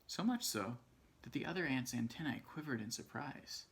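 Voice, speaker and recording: A man narrates a children's tale in an expressive read-aloud style. His voice sits at a middling pitch with noticeable fry in it. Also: moderate pace. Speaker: storybook reader.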